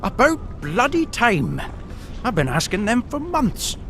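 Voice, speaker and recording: A man's voice: very high-pitched, wildly swooping pitch, unhurried, over music, heavily acted. An actor playing a sardonic, overworked British mine foreman in a video game, blunt and exclamatory. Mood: exasperated, sardonic. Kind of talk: video game cutscene acting.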